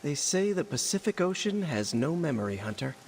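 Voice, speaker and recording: A young American superhero from a video game. The voice is high and swings widely in pitch. He cracks jokes at his own expense yet stays earnest. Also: big pitch swings, brisk, background noise. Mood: wistful joking. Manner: self-deprecating, joking. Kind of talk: video game cutscene acting.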